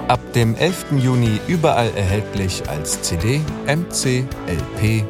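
A man's voice: medium pitch, very animated, unhurried, loud music behind the voice. A man reading a scripted announcement as an announcer.

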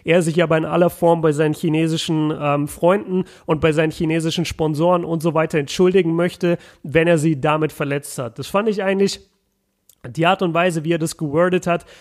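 Chatty young man with a raised pitch. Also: steady tone, brisk. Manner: chatty, many fillers and restarts, mixes English words in. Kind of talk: casual podcast discussion.

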